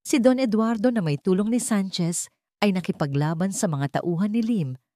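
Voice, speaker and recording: A woman's voice: mid-range voice, normal speed, dark tone, no echo, studio quality. A woman reading a scripted dramatic audio story as its narrator.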